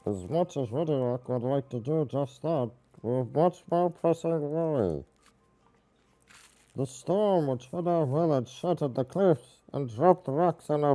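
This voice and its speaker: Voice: medium pitch, mellow, natural voice, poor audio. An actor playing a small, quirky goblin-like village elder in a video game, earnest and speaking in the third person. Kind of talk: video game character acting.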